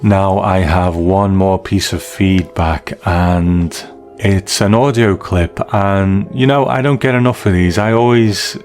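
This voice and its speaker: Low-voiced British man hosting a podcast. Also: fairly even intonation, slow, a little mellow, faint music behind. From United Kingdom. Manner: chatty, conversational. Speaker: podcast host. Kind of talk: casual solo podcast talk, reading listener feedback.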